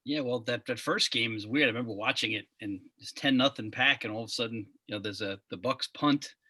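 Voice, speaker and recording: A man with a Long Island accent hosting a casual sports podcast, friendly and quick to laugh. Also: medium pitch, no room sound. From Long Island, New York. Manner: relaxed, friendly, laughs often. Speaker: podcast host.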